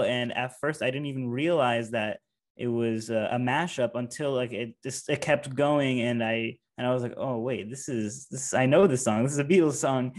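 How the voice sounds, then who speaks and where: A man co-hosting a podcast, chatting enthusiastically with many casual fillers. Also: mid-range voice. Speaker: podcast co-host. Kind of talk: casual chat.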